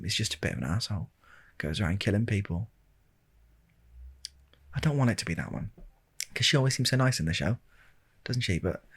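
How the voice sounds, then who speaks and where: A British man telling a rambling comic ASMR story in a deadpan, hesitant way, with a husky, gravelly voice, close to the microphone. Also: lowish voice. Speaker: video storyteller. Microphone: close handheld-recorder style microphone.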